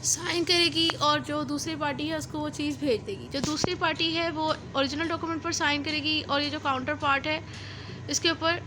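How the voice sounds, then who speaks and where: A woman's voice: high voice, steady tone, normal speed, background noise. A woman with a Pakistani accent giving an explanatory law lecture step by step, rephrasing her points and mixing in English legal terms.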